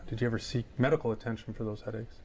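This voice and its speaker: A man acts as a businesslike lawyer in a legal dramatization. He fires off formal questions at a quick clip, methodical and matter-of-fact. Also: medium pitch, steady tone, vocal fry, darkish tone, close to an everyday voice. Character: brisk, methodical opposing lawyer questioning a witness. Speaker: examining lawyer in a dramatized discovery.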